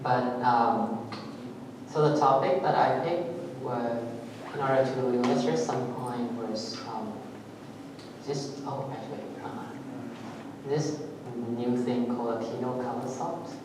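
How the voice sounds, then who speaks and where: A man from Vietnam, a native Vietnamese speaker, giving a technical talk at a meetup in a halting way, with many fillers and restarts. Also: mid-range voice, steady tone. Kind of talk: technical presentation with live demo. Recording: echoey hall, voice far from mic, audible noise.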